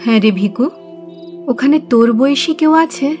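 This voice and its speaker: A woman's voice: sits high, animated, moderate pace, darkish tone, soft background music. A woman playing a poor, loving, anxious village mother in an audio drama, speaking in a tender, hesitant way. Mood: gentle questioning. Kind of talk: audio story acting in Bengali radio drama.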